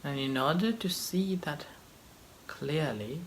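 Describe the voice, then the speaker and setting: Male meditation teacher, slow delivery, short phrases, long pauses, light background noise. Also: on the high side, expressive, rough recording. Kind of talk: guided meditation, slow gentle instruction.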